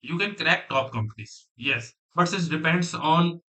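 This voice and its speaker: A young man from Delhi with an Indian accent, a native Hindi speaker, chatting casually on a coding livestream and rambling quickly with many fillers. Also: on the high side, pushed and bright. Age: young adult. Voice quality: rapid, rambling, filler-heavy talk. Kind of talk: live stream chat, mixing Hindi and English.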